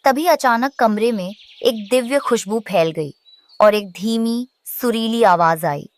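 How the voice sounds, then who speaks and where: Casting: female story narrator, slightly high pitch, scripted delivery. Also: slow pace.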